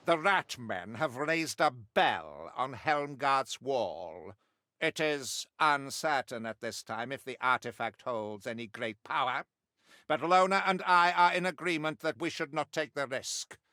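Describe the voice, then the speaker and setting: A man's voice: fairly high pitch, bright, forceful tone, dry recording, clean studio sound, full character voice. A male actor playing a zealous, haughty middle-aged witch hunter in a video game, declaiming his briefings in pompous, archaic style. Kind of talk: theatrical scripted video game mission briefings.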